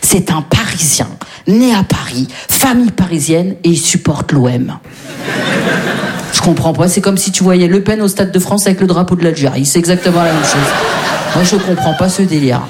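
A woman's voice: fairly low pitch, expressive, moderate pace, noisy background. A woman performing a stand-up routine on stage, brash, slangy and self-mocking. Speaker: stand-up comedian. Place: comedy stage with audience.

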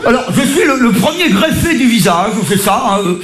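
A man's voice: very high-pitched, big pitch swings, fairly quick, very noisy. A man playing a cocky young male contestant with a rebuilt face, smug and crude. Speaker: game show contestant. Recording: echoey room with music behind.

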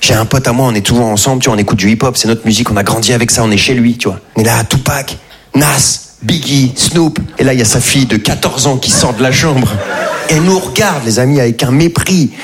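A man's voice: mid-range voice, fast talker, soft background music. A man in his forties doing stand-up, self-mocking and chatty, on stage at a live show. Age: 40s. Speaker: stand-up comedian. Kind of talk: stand-up routine. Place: comedy stage before audience. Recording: live show with loud crowd.

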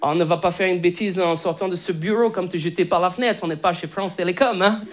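A woman's voice: on the low side, quick pace. A woman performing a comic monologue as a boss, addressing an employee in a mock-friendly, condescending way. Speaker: stand-up comedian. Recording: live audience crowd noise. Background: audience.

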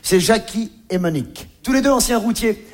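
A man's voice: high voice, lively intonation, moderate pace, darkish tone. A man performing a comic monologue, conversational and mock-earnest, often interrupting himself as he tells his story. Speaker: stand-up comedian. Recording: clear background noise.

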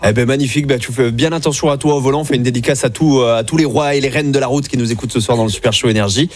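A man's voice: medium pitch, rapid-fire. A man from southwest France hosting an evening radio show, bantering playfully with callers and co-hosts in a studio. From southwest France (Landes).

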